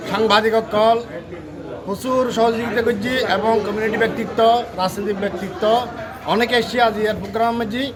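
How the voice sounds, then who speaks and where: A man speaks in halting, repetitive phrases with a flat, high voice. Crowd noise rises behind him. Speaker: event organizer. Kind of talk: interview statement.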